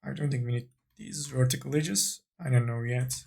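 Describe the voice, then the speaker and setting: A man narrating an unscripted software tutorial, tentatively thinking aloud as he works. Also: mid-range voice, steady tone, normal speed, creaky, slightly rough. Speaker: 3D modeling tutorial instructor.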